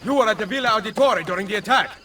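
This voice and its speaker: An actor playing a commanding Italian assassin leader, resolute and dry, with an Italian accent, in a video game. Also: male voice, high voice, rapid-fire, lightly acted. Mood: urgent. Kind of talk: dramatic video game acting.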